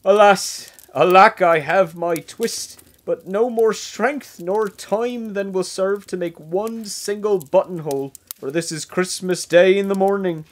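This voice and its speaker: A man's voice: sits high, a little slow, few pauses. An actor playing a poor, worn-out old tailor who wails his troubles in a fretful voice. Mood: despairing. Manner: fretful, wailing laments.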